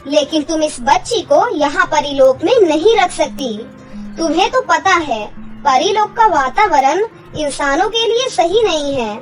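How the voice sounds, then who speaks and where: A woman's voice: extremely high pitch, low-quality recording. A woman playing a wise, authoritative fairy queen giving counsel in a cartoon story.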